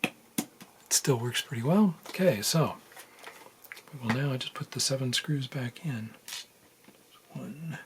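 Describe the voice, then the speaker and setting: A man narrates a hands-on electronics job in a casual, unscripted way. His mid-pitched voice is low-key and halting, murmured as he works. The camera mic picks up faint room noise and small handling clicks. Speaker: electronics hobbyist demonstrating a repair. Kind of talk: casual unscripted how-to narration while working. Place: indoors at a workbench.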